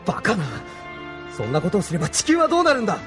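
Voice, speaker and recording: A man's voice: high voice, wildly swooping pitch, racing, over music. A man playing an earnest heroic young mech pilot in a sci-fi audio drama, urgent and impassioned. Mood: indignant, impassioned.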